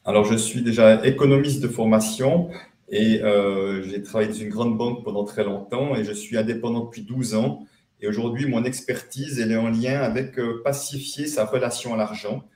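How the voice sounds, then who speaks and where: A man introducing himself as an economist guest in an interview, speaking in a measured way. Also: mid-range voice, steady tone, warm tone. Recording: roomy, distant from the mic.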